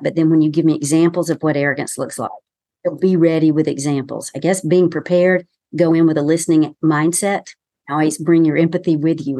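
A woman with a softened Southern American accent speaks in a low, even register. She explains communication skills in a measured coaching tone, and her voice sits close and dry with no room sound. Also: no room sound. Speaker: communication consultant guest. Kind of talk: professional podcast interview.

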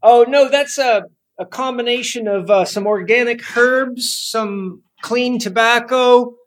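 High-voiced enthusiastic male podcast host. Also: a little slow. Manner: enthusiastic, rambling storyteller. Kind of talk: casual interview chat. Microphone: podcast microphone.

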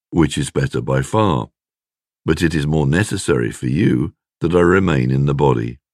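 A man reading a scripted devotional in a measured, clearly phrased way. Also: a bass voice, a little mellow, no echo, clean studio sound. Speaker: devotional reader.

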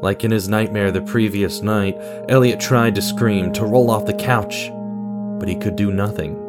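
Male narrator-announcer for audio drama, lower-pitched, scripted read, guitar bed. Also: fairly even intonation, moderate pace. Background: guitar music.

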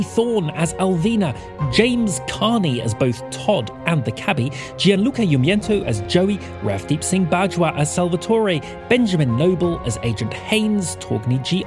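An announcer reading scripted podcast credits in a presentational style. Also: medium pitch.

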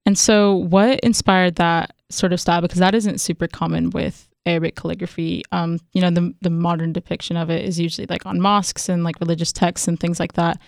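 A woman's voice: lowish voice, flat delivery, a little fast, gravelly, few pauses, darkish tone, no echo, clean studio sound. A young woman hosting a radio interview, asking long, curious questions. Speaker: radio host.

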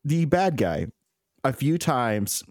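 A man's voice: medium pitch, slow pace, no room sound, clean studio sound. Joking man cohosting a podcast. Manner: jokey, animated, laughs often. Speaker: podcast co-host. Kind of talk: casual chat.

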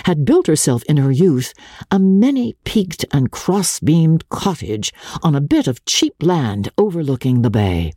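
A woman giving a measured, expressive and theatrical reading of literary fiction as an audiobook narrator. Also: low voice, slightly rough, no room sound, clean studio sound. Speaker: audiobook narrator and radio presenter.

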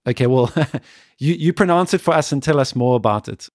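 A man hosting a music interview, putting prepared questions in a polite, measured way. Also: medium pitch, dry recording, studio quality. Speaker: interviewer, host.

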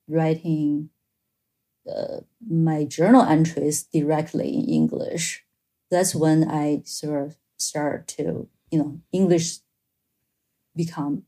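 A woman with a Chinese accent, whose first language is Chinese, answering interview questions as an author in a thoughtful, halting way with many fillers and restarts. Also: low voice, warm tone, poor audio. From China. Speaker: author guest.

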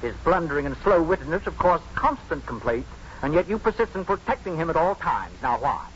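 Accusing, formal professor, 1950s radio play. Prosecutorial, lively intonation, faint noise. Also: male voice, fairly high pitch, fairly quick. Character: pompous, accusing male professor, prying and indignant. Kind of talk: 1950s radio drama acting. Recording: muffled, noisy 1950s radio broadcast.

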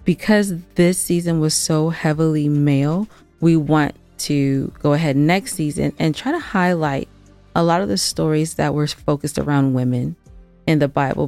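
A woman's voice: deep voice, normal speed, gravelly, a little mellow, dry recording, soft background music. A woman co-hosting a podcast, talking casually and earnestly to listeners with an emphatic, playful streak. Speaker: podcast co-host and producer.

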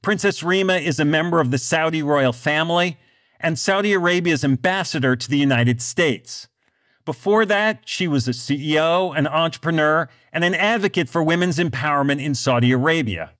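A man hosting a business podcast, reading scripted narration in a measured, deliberate way. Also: on the high side, a bit bright. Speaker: podcast host.

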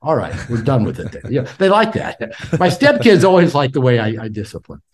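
A man's voice: mid-range voice, big pitch swings, a little fast. A man in his sixties, a podcast guest and author, talking casually and often repeating words as he starts sentences. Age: 60s. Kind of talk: casual interview talk. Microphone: call.

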